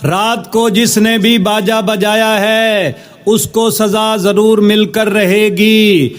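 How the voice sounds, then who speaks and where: A man's voice: high-pitched, little pitch movement, slow. A man voicing a dutiful village servant and crier in a children's cartoon story. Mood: proclaiming.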